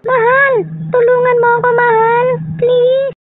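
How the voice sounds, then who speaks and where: A woman's voice: very high-pitched, level tone, measured pace, narrow, tinny audio, loud music behind the voice. A woman playing a worried, caring young wife in an amateur radio drama. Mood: urgent.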